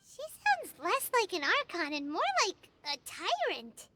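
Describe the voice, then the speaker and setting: A woman voicing a tiny, childlike fairy companion in an anime-style video game, chatty, squeaky and excitable. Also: a little slow, heavy background noise, heavily acted. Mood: disapproving. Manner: squeaky, refers to self in third person.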